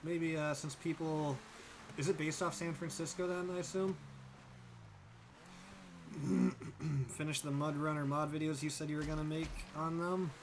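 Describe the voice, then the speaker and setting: A man's voice: fairly high pitch, little pitch movement, moderate pace, long pauses, background noise. A young man livestreaming a driving game, chatting casually, thinking aloud and thanking viewers by name. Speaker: streamer. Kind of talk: casual livestream chat while gaming.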